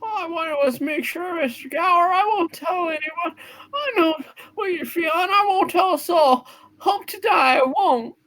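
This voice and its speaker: A woman's voice: very high-pitched, moderate pace, fairly bright tone, voice pushed up. A woman voicing an earnest, frightened young errand boy who stammers and pleads in an amateur radio play reading. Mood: tearful pleading.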